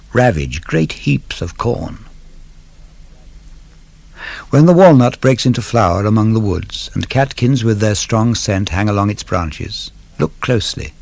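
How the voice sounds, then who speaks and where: A man giving a measured, deliberate recitation of verse, like a scripted dramatic narrator instructing his listeners. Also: lowish voice, vocal fry, a little mellow, slightly rough, no echo. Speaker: poetry reader.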